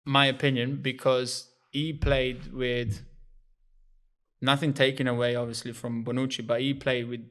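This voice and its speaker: Bright, forceful tone from an Italian man in his thirties. He talks easily and chuckles between thoughts, his English a little uneven. He sounds relaxed in casual podcast chat. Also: mid-range voice, measured pace. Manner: relaxed, laughs often, slightly broken English phrasing. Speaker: guest footballer.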